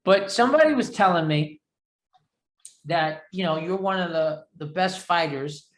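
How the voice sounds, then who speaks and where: A man's voice: high voice, animated, measured pace. A man with a New York area accent hosting a casual interview, chatty and rambling, often poking fun at himself. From New York or New Jersey area. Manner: chatty, rambling, self-deprecating. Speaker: interview host. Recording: echoey room sound.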